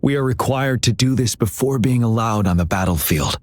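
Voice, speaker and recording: An actor voicing a solemn young military general in a fantasy video game, speaking in a measured, earnest and formal way. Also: male voice, mid-range voice, no room sound, lightly acted. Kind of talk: scripted video game dialogue.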